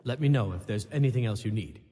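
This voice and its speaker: An actor playing a genial, bustling innkeeper in a fantasy game, hearty and obliging. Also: male voice, fairly low pitch, lively intonation, quick pace, warm tone, a little hiss or hum, lightly acted. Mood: welcoming. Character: genial, bustling male innkeeper, eager for coin. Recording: echoey hall, music over voice.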